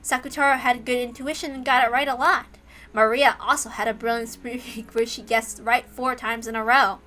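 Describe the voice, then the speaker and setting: Bright, high-voiced woman narrating a story. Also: fairly even intonation, moderate pace. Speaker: narrator reading a visual novel.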